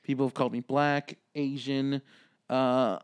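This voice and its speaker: A man's voice: mid-range voice, flat delivery, measured pace, clean tone. A man in his twenties with a Midwestern American accent, co-hosting a casual comedy podcast, joking and swearing freely. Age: 20s. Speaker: podcast co-host.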